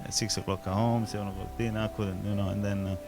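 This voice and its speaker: A man from southern Italy with an Italian accent, a chef telling his story in a halting way, searching for words as he goes. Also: fairly low pitch, flat delivery, over music. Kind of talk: casual interview. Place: restaurant function room with music playing.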